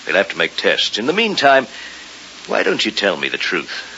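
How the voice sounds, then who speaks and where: An actor playing a sceptical, paternal middle-aged family doctor, probing and authoritative, in old-time radio mystery style. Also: male voice, fairly low pitch, huge pitch swings, normal speed, pushed and bright, heavily acted. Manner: probing, authoritative, clinical, emphatic. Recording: hissy, dull old radio recording.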